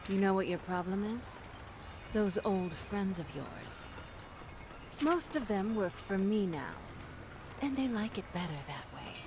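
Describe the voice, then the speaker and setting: Cocky woman pirate captain for a game: mid-pitched, wry, commanding, noisy ambience behind. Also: big pitch swings, unhurried, pauses often. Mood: taunting.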